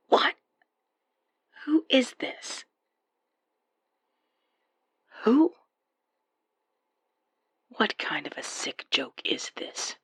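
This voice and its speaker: A woman playing a scheming, venomous gold-digging wife in an audio drama, with a breathy, gravelly low voice and sneering contempt. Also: fairly bright tone, dry recording, exaggerated voice. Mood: alarmed, indignant. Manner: sneering, threatening, hissed contempt. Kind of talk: audio roleplay acting.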